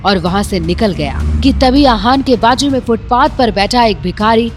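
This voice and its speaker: A woman narrating a serialized audio drama with dramatic storytelling. Her voice sits on the high side, and faint music plays under the narration. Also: animated, normal speed.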